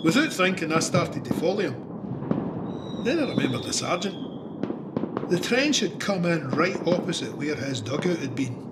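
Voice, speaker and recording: A man's voice: a little high, big pitch swings, unhurried, long pauses, distant mic, heavy background noise. An actor playing a Scottish cavalryman in a radio drama, recounting trench warfare in a conversational, wry, plainspoken storytelling way. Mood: tense recounting. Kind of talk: dramatised first-person memoir narration.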